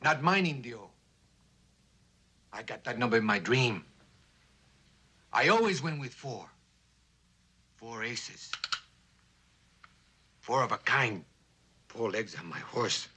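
An actor playing a cocky, taunting gunslinger bandit with a theatrical, goading manner in an old television western. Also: male voice, medium pitch, sing-song, slow, pauses often, sharp tone, husky, heavily acted. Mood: boastful.

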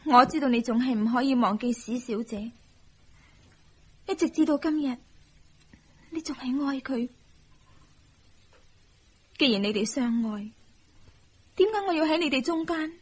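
Radio drama young wife: self-sacrificing, sorrowful, Hong Kong Cantonese accent, high-pitched, breathy delivery. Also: female voice, a little slow, long pauses. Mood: sad, pleading.

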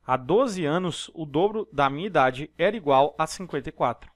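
A man with a Brazilian accent works through exam problems one step at a time, often checking that the listener is following. His voice sits a little high. The recording is dry and close, with no room sound. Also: lively intonation, normal speed, no room sound. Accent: Brazilian Portuguese. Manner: explanatory, step-by-step, frequent tag questions. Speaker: math teacher. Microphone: voice-over on screen recording.

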